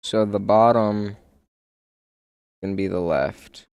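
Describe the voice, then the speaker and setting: A man's voice: lowish voice, slow pace, vocal fry, darkish tone, smooth. A male streamer chatting casually while building in a game, muttering to himself and calling his viewers "bro".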